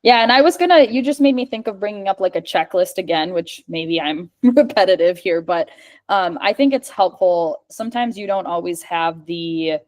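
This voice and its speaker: A woman's voice: on the low side, animated, normal speed, a little mellow. A woman who is a speech-language pathologist and communication coach, answering questions in a casual chat over a video call, with a lot of "like" in her speech. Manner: chatty, fillers of "like".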